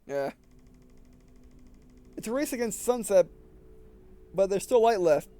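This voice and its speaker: High-pitched man reading game text aloud. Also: expressive, slow, warm tone. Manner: stumbles over words and rereads phrases. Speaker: gaming video host. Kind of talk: let's-play reading of visual novel text aloud with casual commentary.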